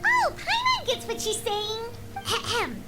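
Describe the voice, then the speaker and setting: A woman voicing a tiny, excitable, childlike fairy companion in a video game, chirpy and squeaky. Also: slow pace, heavily acted. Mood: boastful. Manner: speaks of herself in third person, chirpy. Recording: game music behind the voice.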